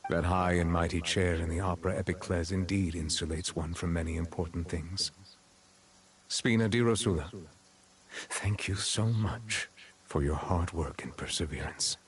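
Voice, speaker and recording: Very deep, solemn chief justice played by a man. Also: slight background noise, close to an everyday voice. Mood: solemn reflection. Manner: measured, formal, grave, stiffly apologetic. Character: solemn, formal, reserved male chief justice. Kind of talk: video game voice acting.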